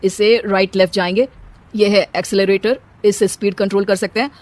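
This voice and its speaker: A woman's voice: medium pitch, steady tone, brisk, darkish tone, no room sound, lightly acted. A wry young husband in a cartoon family story, played by a woman narrator. He is teasing and sarcastic, with an exasperated edge to his talk. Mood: instructing.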